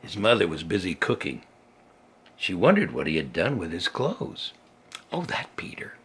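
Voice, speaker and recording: An older man, a grandfather reading a children's story aloud in a folksy, chatty way, with a gravelly, husky voice and simple asides as if to a small child. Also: low-pitched, huge pitch swings, normal speed, a bit bright, a little hiss or hum.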